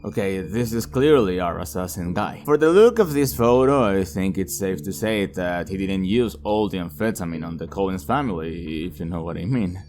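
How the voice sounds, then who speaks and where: Deep-voiced man narrating horror, music behind. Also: lively intonation, normal speed, hardly any pauses, a little mellow. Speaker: host of a video reviewing an analog horror series. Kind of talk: scripted horror narration with casual commentary asides.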